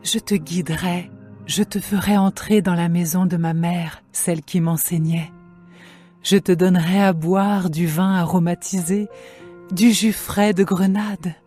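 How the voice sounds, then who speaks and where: A woman playing a tender, devoted young woman in love, in a slow, measured dramatised scripture reading. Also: fairly low pitch, fairly even intonation, mellow, husky, faint music behind. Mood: longing.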